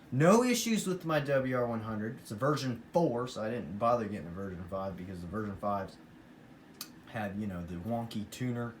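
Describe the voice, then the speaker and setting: A man with an American accent talking casually and enthusiastically in a rambling, informal hobbyist show-and-tell style. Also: medium pitch. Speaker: hobbyist vlogger. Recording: noisy room with clear background noise.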